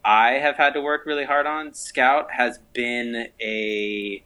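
A man's voice: mid-range voice, steady tone, takes it slowly, sharp tone, rough recording. A man from Southern California with an American accent, talking bluntly in a casual conversation. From Southern California, United States.

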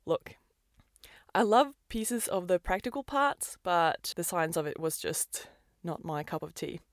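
A woman's voice: fairly low pitch, animated, unhurried, dry recording, studio quality. A woman, hosting a podcast in an upbeat, enthusiastic scripted monologue. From Norway. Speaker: podcast host and business coach.

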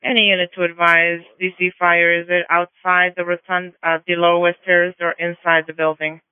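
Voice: medium pitch, flat delivery, a little fast, clean tone, no room sound. A police radio dispatcher directing units in radio procedure over a narrow radio channel.

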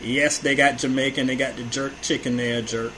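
A man's voice: medium pitch, flat delivery, normal speed, fairly bright tone, slight background noise. An American man co-hosting a podcast in casual banter, with an opinionated, exasperated, slangy way of talking. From Hampton Roads, Virginia, United States. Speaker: podcast co-host and music reviewer.

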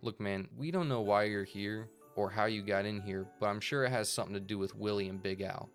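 A man voicing a calm, apologetic alien bug henchman in a story, casual and placating. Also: fairly low pitch, a little fast, faint music behind, natural voice. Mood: weary. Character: laid-back, apologetic alien bug henchman at office party.

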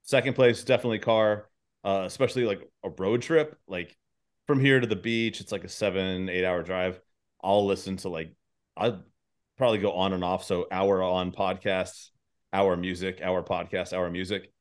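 A man with a fairly low voice hosts a casual podcast chat. He talks in a rambling way, working out his thoughts aloud, and drops swear words easily. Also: fairly even intonation, moderate pace. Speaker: podcast host.

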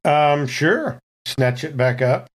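Higher-voiced man chatting as a game player. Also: lively intonation, takes it slowly. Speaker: tabletop role-playing player. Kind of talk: casual game chat.

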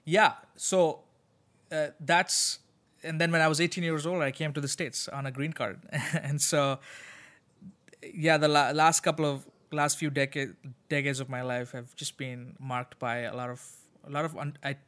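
Sri Lankan-born pastor, thoughtful and halting. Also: male voice, fairly high pitch, steady tone, fairly bright tone. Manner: thoughtful, with frequent restarts and fillers. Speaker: pastor, podcast guest. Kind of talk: conversational interview answers.

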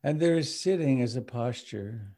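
A man leading a guided meditation as its teacher, speaking in a contemplative, measured way with long pauses. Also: medium pitch, expressive, warm tone. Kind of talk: guided meditation, with sung and chanted refuges.

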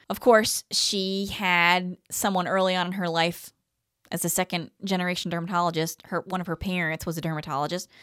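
A woman's voice: lowish voice, a bit bright, clean tone, dry recording, clean studio sound. A woman in her fifties co-hosting a podcast, chatting casually and reading from articles. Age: 50s. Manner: chatty, reads quotes aloud then comments. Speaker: podcast co-host.

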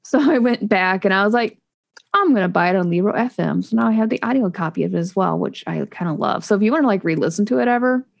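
A woman in easy, rambling conversation, her voice carrying a steady gravelly fry. She stops and restarts her sentences often and fills the gaps with ums and likes, the way a relaxed podcast co-host talks. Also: medium pitch, fast talker. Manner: chatty, rambling, many fillers and restarts. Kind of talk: casual chat about books.

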